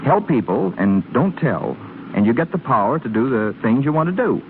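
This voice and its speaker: Casting: persistent, pleading young American suitor, theatrical and lively, noisy narrow-band 1930s radio broadcast. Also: male voice, mid-range voice, normal speed. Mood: earnest.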